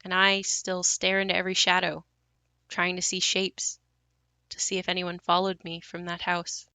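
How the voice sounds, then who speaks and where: A woman's voice: fairly low pitch, completely flat delivery, normal speed, pushed and bright, dry recording. A woman acting a wistful, reflective grown daughter haunted by her ruined childhood home in an audio play.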